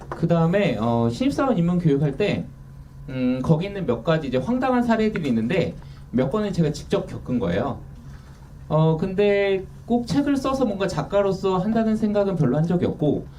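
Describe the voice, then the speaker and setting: Lively, mellow-voiced man speaking from afar. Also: a little high. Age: 30s. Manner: candid, self-deprecating, lively. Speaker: author guest. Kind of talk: casual interview talk. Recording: distant mic with faint noise.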